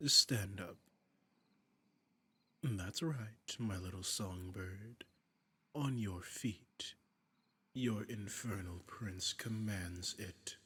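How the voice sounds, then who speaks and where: A man playing a flirtatious, commanding demon prince in an intimate roleplay audio drama, silky, teasing and imperious, with a breathy voice that often drops toward a whisper. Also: lowish voice, very slow, pauses often, sharp tone, no room sound, full character voice. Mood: amused, commanding.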